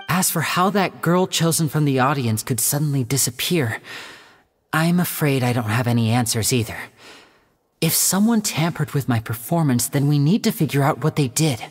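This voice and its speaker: An actor playing a polite, earnest young male stage magician in a video game, speaking in a courteous, measured and gently theatrical way. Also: medium pitch, slight background noise, lightly acted.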